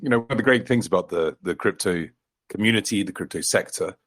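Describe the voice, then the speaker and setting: A man with a fairly low voice hosts a podcast interview. He sounds enthusiastic and encouraging, and he keeps the talk moving with quick replies of agreement. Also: fairly bright tone. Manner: enthusiastic, encouraging, with frequent backchannels. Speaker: podcast host, co-founder.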